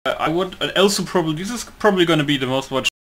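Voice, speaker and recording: A man's voice: on the high side, rapid-fire, fairly bright tone. A man doing casual, rambling let's-play commentary, often interrupting himself as he goes. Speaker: gaming YouTuber.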